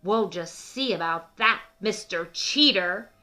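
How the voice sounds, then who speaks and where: A woman's voice: medium pitch, expressive, slow, bright, forceful tone, faint music behind. A woman voicing a vain, competitive female turkey in a storybook, speaking assertively. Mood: challenging. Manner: assertive, insistent.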